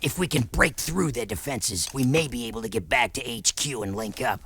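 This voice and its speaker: A man playing a gruff, wry resistance commander in a video game, speaking in terse military briefings with dry humor. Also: mid-range voice, normal speed, a bit bright. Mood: urgent. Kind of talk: video game cutscene acting.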